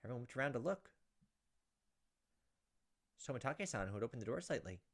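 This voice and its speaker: A man's voice: medium pitch, big pitch swings, fairly quick, a little hiss or hum. A man hosting a gaming video, reading visual novel text aloud dramatically with joking, exasperated asides. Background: game music and cricket sounds.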